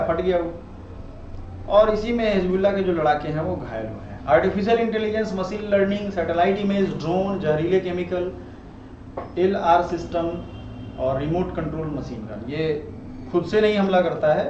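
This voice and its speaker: A man's voice: high-pitched, darkish tone. An Indian man teaching current affairs informally, explaining in a conversational way and often checking that listeners follow. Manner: conversational, checks understanding with rhetorical tags. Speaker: online teacher. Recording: muffled, roomy, distant mic, faint noise.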